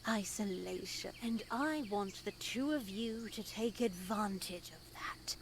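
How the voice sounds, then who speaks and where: A stern nun played by a woman from a visual novel game, lecturing with slow, formal piety. Her delivery is deliberate and preachy, with some background noise. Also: mid-range voice, natural voice. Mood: solemn, measured. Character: stern, sanctimonious nun lecturing two young women in her care. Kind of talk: dramatic visual novel voice acting.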